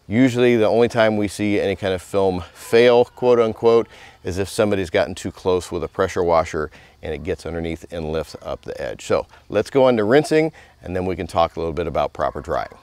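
Middle aged guy doing a how-to, kinda low voice, casual explaining, close mic outside, no echo. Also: fairly quick, a little mellow. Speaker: car detailer presenting a tutorial. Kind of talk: instructional demonstration, conversational. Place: outdoors in a residential driveway.

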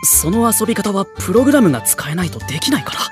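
An easygoing, lively-pitched anime boy. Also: fast talker, over music. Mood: mild, curious. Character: easygoing, polite teenage boy hero. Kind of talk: Japanese anime audio drama acting.

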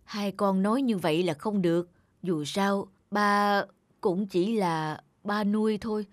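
A woman voicing a kind, self-sacrificing middle-aged foster father in a cartoon, speaking gently and earnestly. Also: mid-range voice, unhurried, no room sound, natural voice. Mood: sad, resigned. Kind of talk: scripted cartoon dubbing.

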